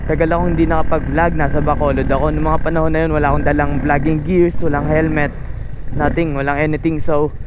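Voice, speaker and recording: A high-voiced man chats away in a casual, rambling vlog style. He speaks into a little mic tucked in his helmet, with clear motorcycle and street noise around him. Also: little pitch movement, fast talker, lo-fi sound. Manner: talkative, rambling. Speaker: motovlogger. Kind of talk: casual vlog chat to viewers. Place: outdoors on a motorcycle at night. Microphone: small intercom mic wrapped in foam inside helmet cheek pad. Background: motorcycle engine and traffic ambience.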